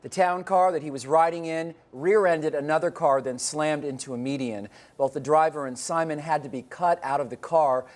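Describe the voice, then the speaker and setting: A man's voice: fairly high pitch, fairly even intonation, normal speed, hardly any pauses. A man with an American accent reading scripted news as an anchor.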